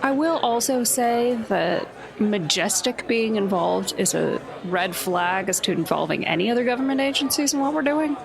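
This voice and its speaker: A woman's voice: a little high, expressive, normal speed, gravelly, crowd noise. A woman playing in a tabletop game, explaining background lore in a matter-of-fact, confident way. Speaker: player. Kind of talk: casual chat in a tabletop game.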